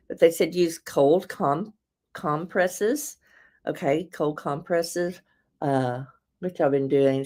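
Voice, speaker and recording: Casting: woman host, low and a touch raspy, chatty and rambling. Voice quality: slightly raspy. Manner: chatty, rambling, laughs often, warm asides to viewers. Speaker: host presenting beauty products. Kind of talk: casual live product demo.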